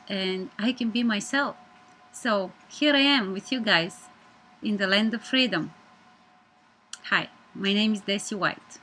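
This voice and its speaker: A woman in her thirties from Bulgaria, speaking English with a Bulgarian accent, giving an earnest, measured personal presentation as a media student. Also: on the high side, fairly bright tone, poor audio.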